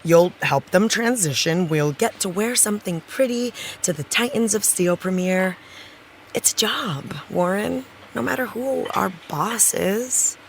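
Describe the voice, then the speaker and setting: A woman's voice: mid-range voice, a little slow, gravelly, slight background noise. A woman playing a wry, candid actress and supportive friend in an audio drama, with dry, teasing, naturalistic delivery. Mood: frank, sardonic.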